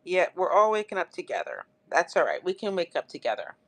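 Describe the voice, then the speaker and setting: A woman's voice: fairly low pitch, wildly swooping pitch, a little fast. A woman hosting a live online auction of pottery, chatty and upbeat, her throat dry and crackly. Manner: chatty, upbeat, addresses viewers by name. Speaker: live-stream seller. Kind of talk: live auction selling chat.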